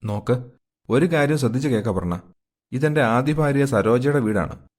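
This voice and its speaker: A man acts out a middle-aged family man in a moral tale. He speaks earnestly and insistently, like someone pleading for his family, in a medium-pitched male voice. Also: lively intonation, moderate pace, natural voice. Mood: firm, reproachful. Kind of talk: acted dialogue in a moral story.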